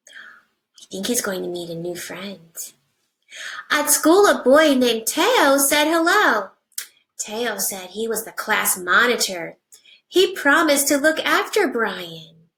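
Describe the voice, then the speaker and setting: A woman teacher reading a picture book aloud to young children, with simple deliberate phrasing and hushed asides. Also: fairly high pitch, very animated, poor audio. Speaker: first grade teacher.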